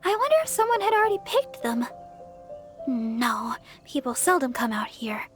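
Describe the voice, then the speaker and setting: A gentle young deaconess from a video game, with a very high, sweet voice. She speaks with polite, earnest care, a little unsure of herself. Music plays softly behind her. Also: big pitch swings, normal speed. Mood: puzzled. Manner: soft, sweet, polite, hesitant. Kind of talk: English video game voice acting.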